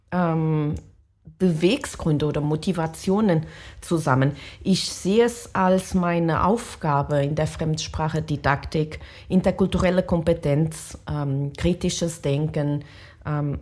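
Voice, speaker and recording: A woman's voice: low-pitched, a little mellow. A middle-aged woman from Portugal with a Portuguese accent, giving reflective interview answers and searching for her words. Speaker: professor of language education, interview guest.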